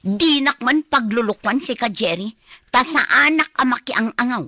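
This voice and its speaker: A sulky, wistful young girlfriend in a radio drama. Her fairly high voice has an Ilocano accent and a dry, close sound, demanding and envious in manner. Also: expressive, normal speed, bright, forceful tone, no room sound. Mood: demanding, pouting. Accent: Filipino, Ilocano.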